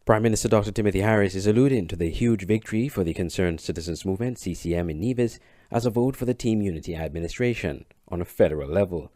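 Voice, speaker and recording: A man's voice: sits low, quick pace, warm tone, dry recording, clean studio sound. A man with a Caribbean accent reading a scripted news report as a reporter.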